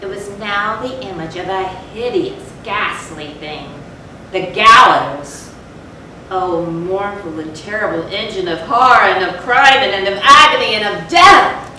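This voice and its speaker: A woman playing a guilt-tormented narrator of a gothic horror tale in a deliberate, theatrical stage recitation. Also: bright, forceful tone. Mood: anguished. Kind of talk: dramatic readers-theatre recitation of a gothic story. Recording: very echoey stage, distant mic, noisy rumble.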